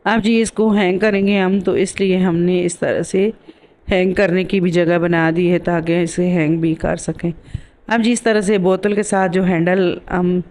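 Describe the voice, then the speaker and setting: A woman's voice: fairly low pitch, fairly even intonation, measured pace, a little mellow. A woman with a sore throat giving a casual voice-over for a DIY craft tutorial as the host.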